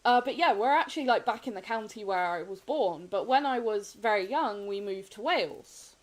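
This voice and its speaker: A woman streaming a game, sniffly, chatting candidly and rambling with frequent soft laughs. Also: medium pitch, animated, moderate pace. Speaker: gaming streamer. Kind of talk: casual chat while gaming.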